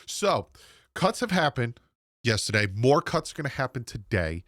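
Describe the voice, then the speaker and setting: A man's voice: mid-range voice, very animated, fairly bright tone, no echo, studio quality. A man in his thirties hosting a sports channel from home, sounding congested and nasal from a cold as he chats casually and rambles to viewers. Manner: rambling, chatty, quick asides to viewers. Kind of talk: casual solo sports commentary. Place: home room. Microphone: desk microphone.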